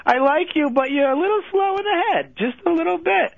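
Super high-pitched doting old man calling in, rambly, kinda muffled and dry. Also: animated, gravelly, dry recording, heavily acted. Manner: rambling, cooing and drawn-out. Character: fawning, dotty old male phone-in caller. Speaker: prank caller bit on a radio show.

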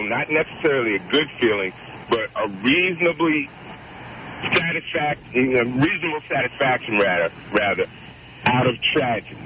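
Deep-voiced earnest male caller. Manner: earnest, wordy, interrupts with repeated "wait a minute". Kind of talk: radio call-in chat. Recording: dull radio audio with clear hiss.

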